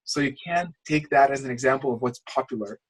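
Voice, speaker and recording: A man from Lithuania speaks English as a second language in a husky voice. He talks at a middle pitch over a video call. He coaches in a rambling, passionate way and restarts his sentences often. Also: fast talker, poor audio. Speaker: channel coach. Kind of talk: casual screen-share coaching call.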